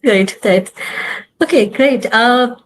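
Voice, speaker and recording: A woman's voice: on the high side, slow pace. A woman casually hosting an online group meeting, thanking speakers and passing things along. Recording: faint background noise on online call.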